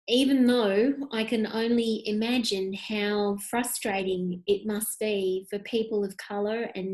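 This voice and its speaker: A woman's voice: mid-range voice, darkish tone, low-quality recording. A woman in her thirties, a podcast guest on a webcam call, speaking in an impassioned, emphatic way. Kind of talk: conversational interview. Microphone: video call webcam.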